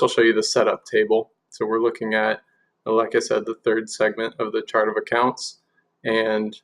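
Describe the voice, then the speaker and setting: A man giving an informal screen-share software demonstration, explaining things step by step. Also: fairly low pitch, gravelly.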